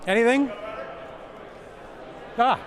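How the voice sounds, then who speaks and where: A man with a big booming voice, giving a conference talk and answering questions with jokes. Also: extremely slow, crowd noise. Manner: joking, self-deprecating, repeats questions aloud. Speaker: conference speaker. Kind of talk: presentation and Q&A.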